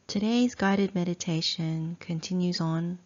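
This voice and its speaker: A woman teacher leading a guided meditation in a measured, deliberate way, breaking her sentences into short phrases with long pauses. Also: lowish voice, darkish tone, highs cut off. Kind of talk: slow guided meditation instruction.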